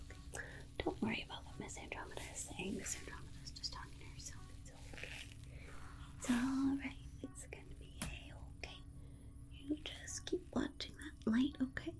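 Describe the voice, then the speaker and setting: A woman's voice: fairly high pitch, darkish tone. A woman voicing a soothing, condescending female alien scientist in a whispered ASMR exam roleplay, coddling and reassuring with murmured asides.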